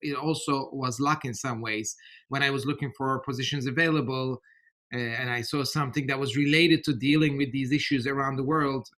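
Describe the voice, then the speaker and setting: A middle-aged man from Turkey with a Turkish accent, giving a podcast interview in a measured, thoughtful way with many hesitations. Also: mid-range voice, steady tone, fairly bright tone. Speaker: interview guest.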